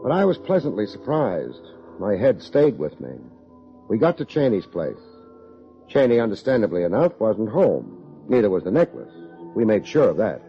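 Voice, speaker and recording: An American actor playing a wry, self-deprecating private investigator in an old-time radio drama, with a deadpan, wisecracking delivery. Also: male voice, fairly low pitch, moderate pace, soft background music. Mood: wry narrating. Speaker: lead actor, narrator. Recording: muffled old radio recording.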